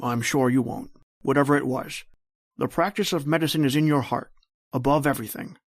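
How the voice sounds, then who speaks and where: A man's voice: mid-range voice, a little fast, no room sound, studio quality, close to an everyday voice. A man playing an older, kindly, fatherly doctor in a radio drama, speaking in a folksy, hesitant, encouraging way. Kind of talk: old-time radio drama acting.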